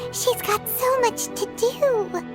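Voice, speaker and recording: A woman's voice: very high voice, takes it slowly. An actress voicing a tiny, bubbly female fairy companion who talks about herself in the third person, in a video game. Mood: panicked. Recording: game music behind.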